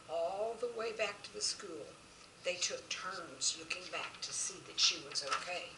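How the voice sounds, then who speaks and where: Bright-voiced woman reading a children's story. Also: medium pitch. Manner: expressive, folksy storyteller delivery. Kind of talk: storytelling, read aloud. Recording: roomy, distant mic with faint noise.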